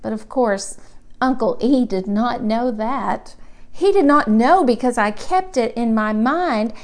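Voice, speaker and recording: A curious small boy from a children's storybook, as a woman reads him, speaks in a high voice. He takes things literally and puzzles over them slowly, with childlike deliberation. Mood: earnest musing. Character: curious, literal-minded small boy narrating about his great-uncle.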